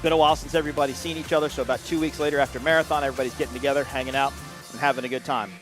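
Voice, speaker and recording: A man's voice: medium pitch, fairly even intonation, fairly bright tone, faint music behind, poor audio. A man doing live sports commentary from an indoor broadcast booth, upbeat play-by-play. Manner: upbeat, rapid play-by-play delivery. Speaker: race commentator.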